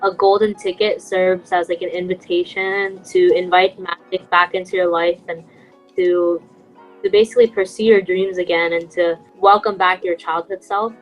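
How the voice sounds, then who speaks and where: A woman's voice: lowish voice, steady tone, moderate pace, faint music behind, low-quality recording. A young adult woman co-hosting a casual podcast among friends, talking in an earnest, self-deprecating, enthusiastic way. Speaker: podcast co-host.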